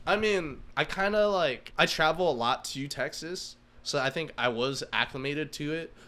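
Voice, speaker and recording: A man's voice: fairly high pitch, normal speed, sharp tone. An American man in his early twenties chatting casually as a podcast guest, informal and sweary, often checking in with the listener. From Massachusetts, United States. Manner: informal, swearing, frequent rhetorical "right?". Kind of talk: casual chat.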